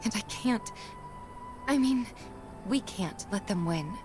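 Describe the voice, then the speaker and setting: A woman playing an earnest, gentle, hesitant young heroine in a video game cutscene, over music. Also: medium pitch, animated. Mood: pleading, resolute. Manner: halting, earnest.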